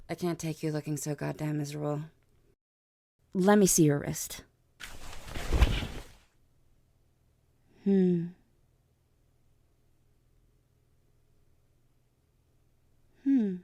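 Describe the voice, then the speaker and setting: Vampire queen , played by a woman: haughty and sardonic, lowish creaky voice, condescending audio-drama delivery. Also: moderate pace, dark tone, no room sound. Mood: exasperated. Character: haughty, powerful vampire queen, teasing and world-weary. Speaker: voice actor in an audio roleplay. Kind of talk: ASMR audio drama acting.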